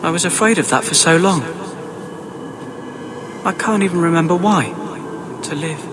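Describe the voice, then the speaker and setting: Casting: man to play a haunted young mage, high-pitched, fervent, pleading, dramatic game acting. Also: moderate pace, background noise, close to an everyday voice. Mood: grim, resigned. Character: anguished young elven-blooded mage haunted by demons.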